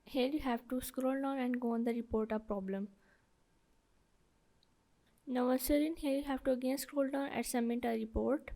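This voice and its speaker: A woman's voice: high voice, steady tone, normal speed, long pauses, warm tone, low-quality recording. A woman presenting a step-by-step how-to tutorial in an instructional, repetitive way. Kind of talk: step-by-step screen tutorial narration.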